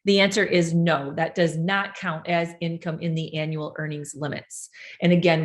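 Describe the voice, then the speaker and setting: A woman giving an informational presentation, brisk and upbeat, explaining things step by step and answering audience questions. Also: on the low side. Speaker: presenter of a benefits seminar.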